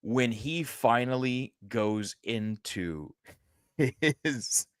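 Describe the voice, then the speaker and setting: Slow-talking excitable male podcast host. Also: medium pitch, clear, resonant tone, dry recording, clean studio sound. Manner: excitable, laughs often, swears when worked up. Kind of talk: casual chat about a horror movie.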